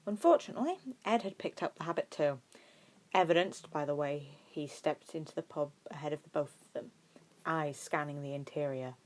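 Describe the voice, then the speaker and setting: A woman reading fiction aloud as an amateur audiobook narrator, in expressive scripted narration. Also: low-pitched, normal speed.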